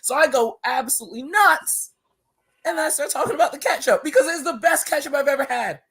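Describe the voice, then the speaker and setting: A man's voice: very high voice, brisk. A man from Barbados, a wrestler guest on a podcast, talking casually and telling stories with lots of laughter and repeated words. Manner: animated storytelling, laughing often, repeats words.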